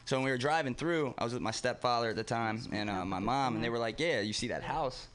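A man's voice: mid-range voice, fast, a bit bright. Laid-back young Baltimore guy. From Baltimore, Maryland. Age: young adult. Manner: laid-back, rambling, says "man" and "you know". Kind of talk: casual chat.

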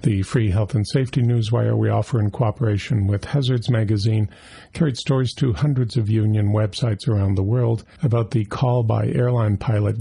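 A man reporting labor news from a script with a steady read delivery. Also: on the low side, vocal fry, slightly rough.

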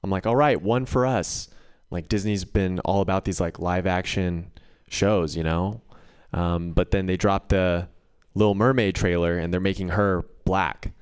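A man's voice: low voice, flat delivery, a little slow, clean tone. A man performing a live stand-up set in a conversational, storytelling way. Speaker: stand-up comedian.

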